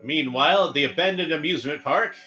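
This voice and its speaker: A man hosting a watchalong, giving casual fan commentary in a halting, conversational way, thinking aloud as he goes. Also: on the high side, sharp tone, faint music behind. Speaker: host of a cartoon watchalong commentary. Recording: dull lo-fi home recording, background noise.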